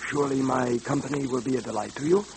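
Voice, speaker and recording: An actor playing a mysterious caped man in an old radio drama, theatrical, formally courteous and quietly menacing. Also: mid-range voice, steady tone, fast, vocal fry, lightly acted. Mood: silky threat. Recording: noisy old radio recording, hum.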